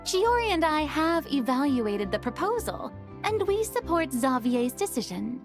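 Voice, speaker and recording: A woman's voice: high voice, very animated, a little slow, over music, heavily acted. A woman voicing a refined, poised young lady in a video game, articulate and encouraging.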